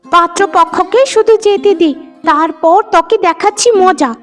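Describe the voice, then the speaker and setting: Lady doing a nasty little sister in a cartoon, super high voice, taunting and sneery, music underneath. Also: moderate pace. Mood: threatening, angry. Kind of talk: cartoon voice acting.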